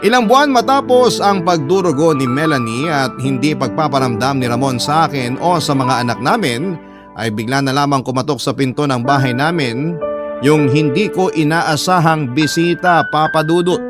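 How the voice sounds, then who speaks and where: Man, medium pitch, dramatic scripted narration, letter-reading storyteller, clear musical backing. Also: normal speed. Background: background music.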